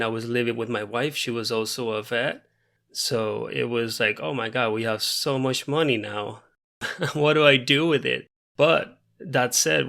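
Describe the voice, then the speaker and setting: A man's voice: medium pitch, vocal fry, sharp tone. A man in his thirties from Puerto Rico with a Puerto Rican Spanish accent, a native Spanish speaker, talking earnestly as a veterinarian guest in a podcast interview. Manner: earnest, measured, frequent fillers.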